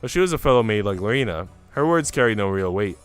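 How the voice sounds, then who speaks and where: A man narrates a visual novel in an expressive, swinging delivery. His voice is smooth and clean, recorded dry, with music playing underneath. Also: on the low side, fast. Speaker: streamer reading a visual novel aloud. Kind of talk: dramatic scripted narration, read aloud.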